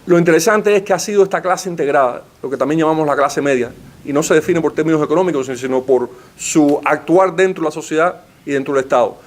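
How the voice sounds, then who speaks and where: Man, panelist, formal rhetorical lecture, a bit high-pitched. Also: quick pace. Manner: rhetorical, uses questions and lists. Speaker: panelist giving a talk. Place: library meeting room.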